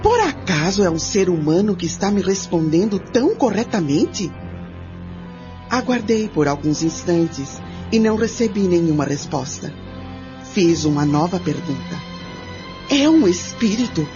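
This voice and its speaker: Atriz como mãe brasileira curiosa, som abafado. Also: female voice, on the low side, very animated, a little slow. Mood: intrigued, resolute. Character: curious, bold 19th-century mother questioning a spirit. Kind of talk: radio drama acting, first-person recounting. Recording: muffled radio drama with music behind.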